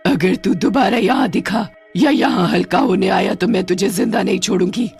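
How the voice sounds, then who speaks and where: A woman's voice: medium pitch, moderate pace, gravelly, a little mellow, soft background music, lightly acted. A woman voicing a menacing, commanding female witch who guards her territory in a horror-comedy story. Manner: threatening, commanding.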